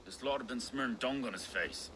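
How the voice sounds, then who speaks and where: A weary former captive acted by a man speaks dryly and plainly at a medium pitch. Clear background noise surrounds him in the game scene. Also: brisk, close to an everyday voice. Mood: weary, grateful. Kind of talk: video game cutscene acting.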